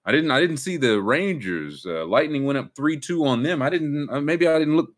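Lively-pitched man hosting a podcast. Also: moderate pace. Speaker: show host. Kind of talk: casual sports podcast banter.

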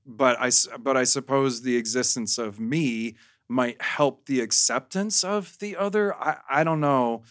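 A man's voice: medium pitch, unhurried, a bit bright, no echo. Man with a close, dry sound. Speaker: podcast guest. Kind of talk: candid casual chat.

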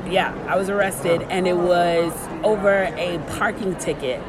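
A woman's voice: fairly low pitch, fairly even intonation, measured pace. A woman being interviewed as an author, telling stories casually and emphatically, acting out what people said. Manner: acts out quoted dialogue, emphatic. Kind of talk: animated casual storytelling. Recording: crowd chatter and clear urban background noise.

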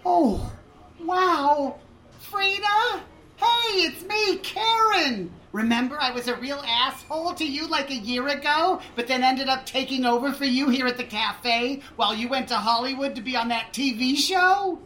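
A woman playing a brash, chatty café waitress in a comedic audio drama, broad and animated in manner. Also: sits high, measured pace, a little hiss or hum, low-quality recording. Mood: eager, awkward. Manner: broad, animated, swears bluntly. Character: brash, chatty pregnant café waitress, foul-mouthed with her husband.

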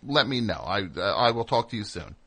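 A man's voice: mid-range voice, sing-song, fairly quick, gravelly, a bit bright, slightly rough. A man hosting a casual comedy call-in show, chatting and reading aloud. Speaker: radio show host.

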